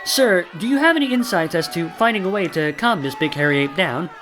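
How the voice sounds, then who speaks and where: A woman playing a brisk, easily alarmed TV news anchor in a comic audio play, delivering a mock report. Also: fairly low pitch, lively intonation, a bit bright, faint music behind, a faint crowd. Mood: brisk, professional.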